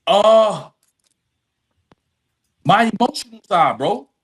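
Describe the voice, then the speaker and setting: A middle-aged man with a somewhat high-pitched voice speaks as an interview guest. He tells personal stories candidly, in informal language, often repeating a phrase to drive it home. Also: animated, slow pace, fairly bright tone. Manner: emphatic repetition of phrases, informal address.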